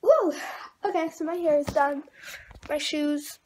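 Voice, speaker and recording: A woman's voice: high voice, a little slow, darkish tone. A thirteen-year-old girl chatting casually and in a rambling way, as in a home vlog. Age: 13, young teenager. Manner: rambling, informal. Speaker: vlogger. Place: rooms at home, indoors. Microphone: camera at arm's length or propped nearby. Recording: noisy handheld home recording.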